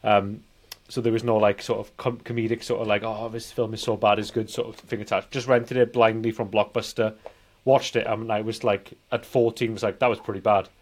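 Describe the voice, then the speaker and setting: A man's voice: on the low side, little pitch movement, brisk. A man in his forties co-hosting a casual film podcast, talking in a rambling, hesitant way. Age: 40s. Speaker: podcast co-host. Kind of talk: casual anecdotal film chat.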